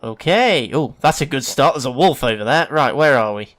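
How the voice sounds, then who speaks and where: A man's voice: medium pitch, expressive, moderate pace, a bit bright. An English man with a sniffly hay-fever nose gives casual, chatty, self-deprecating let's play commentary as a gaming host. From England.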